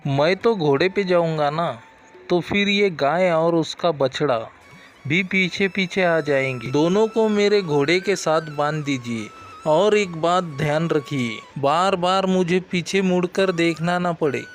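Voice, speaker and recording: Guy doing a middle aged village dad in a cartoon, high voice, thinks out loud, music behind. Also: unhurried, lightly acted. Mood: bargaining, firm. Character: middle-aged village father, trusting, fretful cattle buyer. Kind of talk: Hindi cartoon moral-story acting. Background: background music.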